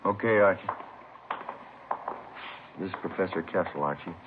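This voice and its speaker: Low-voiced, plain-talking radio policeman played by a man. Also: normal speed, phone-quality sound, lightly acted. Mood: businesslike. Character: plain-talking, easygoing male police officer. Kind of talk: 1950s radio crime drama acting. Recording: noisy, band-limited old radio recording.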